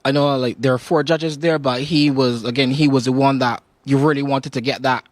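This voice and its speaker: A young Barbadian man chats as a guest on a morning show, mid-pitched and lively. He acts out his own reactions as he tells his story, in a dry, close studio sound. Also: fairly quick, no echo. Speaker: interview guest, singer. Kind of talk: casual interview talk.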